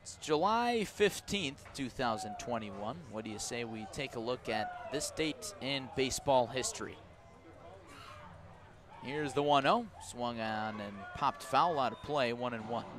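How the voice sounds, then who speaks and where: An American man works as a baseball play-by-play broadcaster on radio. His mid-pitched voice is conversational, switching between clipped calls of the action and storytelling with wry asides. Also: expressive, unhurried, crowd noise. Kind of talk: live radio sports commentary mixed with storytelling.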